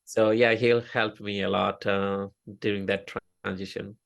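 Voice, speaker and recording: Middle-aged Indian-accented man, Oriya-speaking, gravelly low voice, hesitant interview guest. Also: little pitch movement. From India (Odisha). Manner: halting, many fillers and restarts. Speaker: interview guest, software engineer. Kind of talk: casual interview talk.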